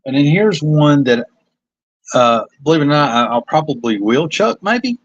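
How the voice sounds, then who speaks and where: A man's voice: on the high side, expressive, normal speed, no room sound, highs cut off. A man with a Kentucky accent, a comic artist talking casually and self-critically about his pages on a livestream. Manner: rambling, self-critical, hesitant. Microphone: phone.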